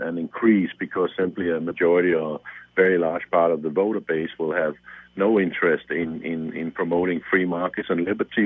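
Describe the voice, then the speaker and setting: Halting man on a phone line. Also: low-pitched, slightly rough, no echo. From Denmark. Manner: halting, many fillers and repeated words. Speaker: bank executive guest. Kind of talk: phone interview.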